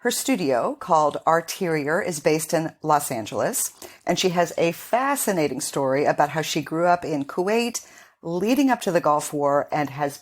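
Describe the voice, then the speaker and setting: A woman's voice: sits low, dry recording. A woman hosting a podcast, asking curious questions with some restarts. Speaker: podcast host. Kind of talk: podcast interview.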